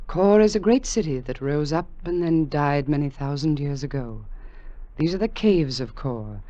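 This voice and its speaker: An imperious veiled ruler of a lost city, acted for radio. The voice is dark and soft-edged, delivering formal pronouncements in 1940s drama style on a dull old recording. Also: male voice, fairly high pitch, lively intonation, moderate pace, natural voice. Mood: regal, mysterious. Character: mysterious veiled immortal ruler, regal and imperious.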